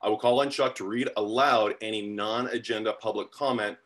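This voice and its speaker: A man's voice: fairly low pitch, steady tone, fairly quick, a bit bright. A middle-aged man from San Diego chairing a public committee meeting over a video call, speaking plainly with frequent fillers. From San Diego, USA.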